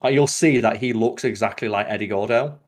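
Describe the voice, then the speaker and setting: A man talks very quickly in casual podcast chat. He is opinionated and swears freely, with the loose delivery of a host talking to a friend. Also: fairly low pitch.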